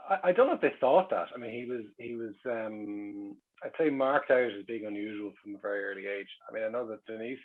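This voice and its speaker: Fast-talking Irish man, slightly husky. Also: fairly low pitch. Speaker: guest, recalling his family. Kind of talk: casual reminiscence in an interview. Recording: dull, lo-fi radio-like sound.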